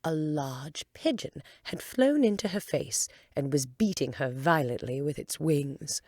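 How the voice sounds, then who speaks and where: A woman's voice: low-pitched, animated, a little slow, a little mellow, no echo, studio quality. A woman narrating a children's story expressively as a volunteer reader.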